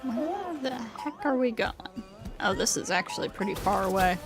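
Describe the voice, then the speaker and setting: Slightly high-voiced woman streaming a game. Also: lively intonation, moderate pace. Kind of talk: casual gameplay commentary. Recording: game music behind.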